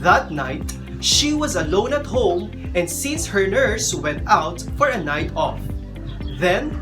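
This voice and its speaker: A woman's voice: mid-range voice, sing-song, takes it slowly, rough recording. A woman with a Filipino accent narrating a scripted lesson as a teacher. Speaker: teacher presenting a video lesson. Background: background music.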